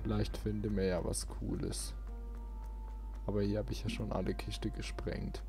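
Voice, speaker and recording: A man's voice: on the low side, flat delivery, normal speed. A man giving casual let's play commentary as host of his channel. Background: game music.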